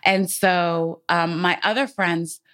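A woman's voice: fairly low pitch, steady tone, takes it slowly, a bit bright. A woman co-hosting a podcast, telling personal stories in a casual, informal way with colloquial filler phrases. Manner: informal, frequent "like" and "you know what I'm saying". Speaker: podcast co-host. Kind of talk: candid personal storytelling, casual chat.

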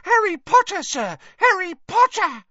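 A tiny devoted house elf from a storybook. The voice is a piercing, very high squeal, breathless and gushing with excitable adoration. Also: male voice, measured pace, no room sound, dull audio, exaggerated voice. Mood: overjoyed. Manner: breathless, gushing, refers to himself by name.

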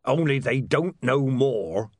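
A man voicing a gruff old male beggar with a phlegmy manner in an audiobook. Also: mid-range voice, expressive, takes it slowly, a little mellow, no room sound, clean studio sound, voice pushed up, heavily acted.

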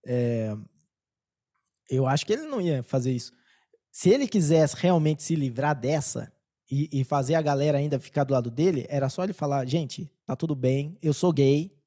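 A Brazilian man with a soft-edged, mellow voice pitched a little high. He co-hosts a podcast in casual, slangy talk, often halting as he builds his point. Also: expressive. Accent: Brazilian Portuguese. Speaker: podcast co-host. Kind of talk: casual chat.

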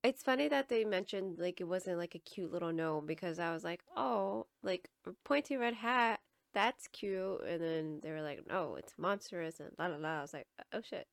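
A woman co-host with a lowish voice that turns gravelly with vocal fry. She chats in a quiet, offhand way, and the recording sounds close and dry. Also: moderate pace, few pauses, no echo. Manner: quiet, offhand and chatty, with many "like" fillers. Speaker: podcast co-host. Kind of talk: casual chat.

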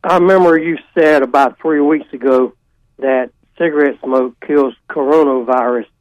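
A man's voice: medium pitch, steady tone, normal speed, dry recording, poor audio. A man calling in to a radio show with a hesitant question. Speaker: caller.

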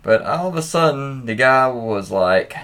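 Slow-paced man, casual and profane storyteller. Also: medium pitch, expressive, fairly bright tone. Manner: informal, profane, reacts with interjections. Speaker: podcast host. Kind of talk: casual read-aloud of anecdotes with offhand commentary.

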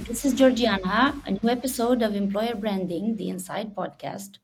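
A woman hosting a podcast, introducing her guest warmly and asking questions in a friendly, effusive way. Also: mid-range voice, normal speed, creaky, faint music behind, poor audio. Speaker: podcast host. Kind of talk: interview hosting.

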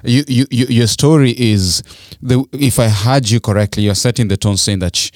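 A man in the middle of the male range hosts an interview. He asks questions with lively energy and often restarts mid-sentence. The recording is dry and close. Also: medium pitch, moderate pace, no room sound. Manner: animated questioning, frequent restarts and fillers. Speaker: podcast host. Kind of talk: interview host.

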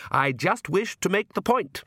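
A man voicing a cheerful, patronising aristocratic vampire father for a storybook, with smooth, deliberate, drawn-out delivery. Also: high voice, lively intonation, sharp tone, no echo, clean studio sound, voice pushed up, full character voice. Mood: cheerful, self-satisfied.

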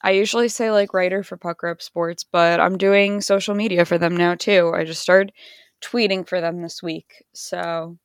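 A young woman with a lowish, smooth voice that is starting to go. She talks slowly and dryly, with no room sound, as a laid-back, wry podcast co-host. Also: fairly even intonation, dry recording, studio quality. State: losing her voice. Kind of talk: casual sports podcast chat.

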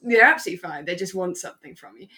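A British woman with a slightly low voice chats as a podcast co-host. She talks effusively and sentimentally, in a relaxed, informal style. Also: rapid-fire. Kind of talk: relaxed fan chat about a radio drama.

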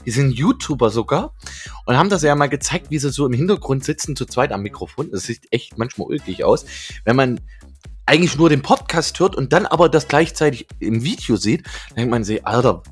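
Ein Mann in seinen Zwanzigern, Co-Moderator eines Podcasts, plaudert locker und scherzt viel. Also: male voice, medium pitch, lively intonation, fairly quick, husky, faint music behind. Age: 20s. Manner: laughs often, joking. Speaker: podcast co-host. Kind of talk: casual chat.

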